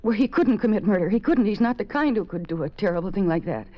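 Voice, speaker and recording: A woman's voice: mid-range voice, expressive, fast talker, lightly acted. An actress playing a young wife pleading her husband's innocence and narrating the story in an old radio drama. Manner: earnest, pleading, urgent. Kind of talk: 1940s radio acting, first-person narration. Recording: dull old radio recording.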